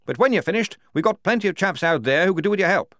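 A man voicing a blustering, hearty young army major for an audiobook, booming and jovial, tacking "eh?" onto his lines. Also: lively intonation, fast, fairly bright tone, no echo, studio quality. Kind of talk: audiobook character voice.

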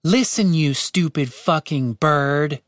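A woman's voice: low voice, big pitch swings, normal speed, no echo, studio quality, pitched above the performer's own voice. A woman voicing a brash, foul-mouthed young elf woman in an audiobook, blunt, sarcastic and demanding. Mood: angry, frustrated.

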